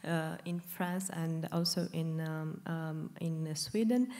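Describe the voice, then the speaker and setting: A young woman from Romania introducing herself as a board candidate at a conference, halting with many pauses and fillers. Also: sits low, dark tone. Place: conference lecture hall.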